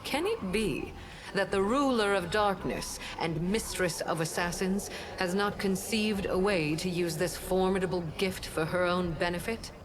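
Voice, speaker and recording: An actress playing a righteous, stern goddess in a video game, speaking in a grave, measured and formal manner. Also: female voice, fairly low pitch, noisy background, close to an everyday voice. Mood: stern, accusing. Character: solemn, righteous goddess of justice, stern and noble.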